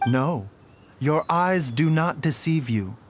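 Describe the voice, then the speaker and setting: A man voicing a gentle but enigmatic male doctor in a video game, speaking softly with a knowing, teasing manner. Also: a little high, animated, slow pace, a little hiss or hum. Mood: explaining. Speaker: game character.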